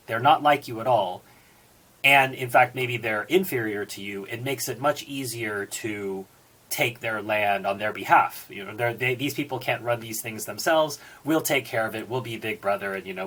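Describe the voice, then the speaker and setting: Male book reviewer, mid-pitched and a little husky, thoughtful delivery with stressed words and pauses. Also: a bit bright, poor audio. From born in Singapore, United States citizen. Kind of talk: book review talk with read-out quotations.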